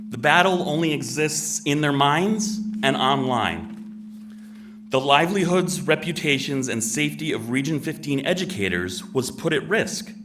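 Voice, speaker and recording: A deep-voiced man reading an earnest, deliberate public comment at a town meeting. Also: far from the mic, noisy background. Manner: earnest, deliberate prepared statement. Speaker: resident giving public comment. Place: town hall meeting room.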